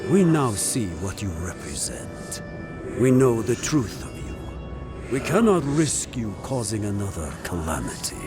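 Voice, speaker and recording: Stern, slow-spoken undying guardian spirit. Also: male voice, on the low side, very animated, music in the background. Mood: solemn, vowing. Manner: solemn, imperious, formal diction. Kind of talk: dramatic fantasy game acting.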